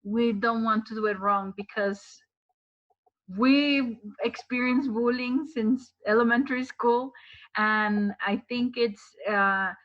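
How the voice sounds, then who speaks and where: Spanish-accented woman on a chat podcast, kinda high voice, dull lo-fi sound. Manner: careful, hesitant phrasing. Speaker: podcast guest. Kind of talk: casual conversation.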